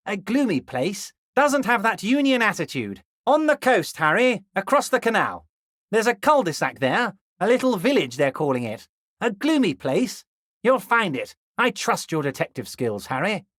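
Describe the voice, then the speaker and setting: A man voicing a scheming, smarmy middle-aged union boss in a video game, ingratiating and slow with oily reassurances that carry a veiled threat. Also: high-pitched, a bit bright, no room sound, studio quality. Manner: ingratiating, keeps repeating the listener's name. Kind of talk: recorded game dialogue lines.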